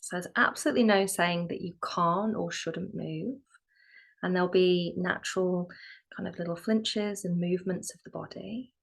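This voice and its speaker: A woman teaching yoga nidra, guiding a relaxation meditation in a gentle, soothing, soft and sometimes breathy voice with long pauses. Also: lowish voice.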